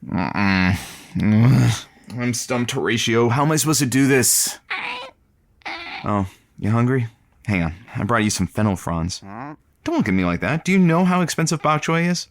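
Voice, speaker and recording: A man's voice: medium pitch, animated, moderate pace, long pauses, close to an everyday voice. A man playing a harried, wisecracking male radio producer in a comedic audio drama, anxious and sarcastic. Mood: flustered, exasperated. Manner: sardonic, flustered.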